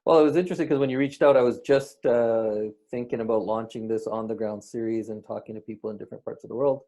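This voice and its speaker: A man's voice: mid-range voice, fairly even intonation, quick pace, mellow. A man hosting an interview podcast, speaking with frequent hesitations and fillers. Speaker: podcast host. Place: remote call.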